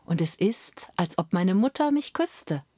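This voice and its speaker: A woman voicing a poor little kitchen girl who talks high, soft and earnest. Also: sing-song, a little slow, slightly rough, dry recording, studio quality, full character voice. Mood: tender.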